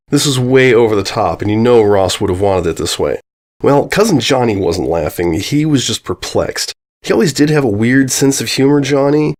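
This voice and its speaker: A man narrates a scripted story in the first person. His voice sits at a middle pitch, and he tells it in a casual, chatty, conversational way. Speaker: narrator reading a short horror-comedy story.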